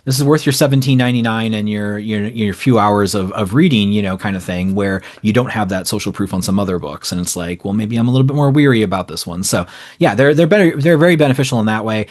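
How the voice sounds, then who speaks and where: A man's voice: fairly low pitch, quick pace, a little mellow. A man talking as a publisher guest in a casual interview, conversational and anecdotal.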